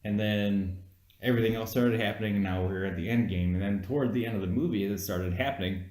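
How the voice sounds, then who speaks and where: A man's voice: lowish voice, quick pace, darkish tone, echoey room. A man co-hosting a casual movie review, explaining his points insistently in loose, sweary conversation. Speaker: co-host of a movie review podcast.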